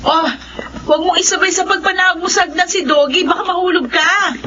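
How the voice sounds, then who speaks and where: An actress playing an earnest, devout wife and mother, expressive and conversational, in a radio horror drama. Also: very high voice, moderate pace, shouting. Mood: motherly, exasperated. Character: earnest believing wife and doting, anxious mother. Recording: dull lo-fi audio, faint noise.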